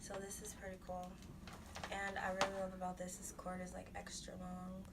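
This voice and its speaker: A young woman with a soft voice, unboxing items for her vlog, talking slowly and drifting into whispers. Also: lowish voice, monotone, distant mic, heavy background noise. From United States. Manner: slow, breathy, drifts into whispers. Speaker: vlogger.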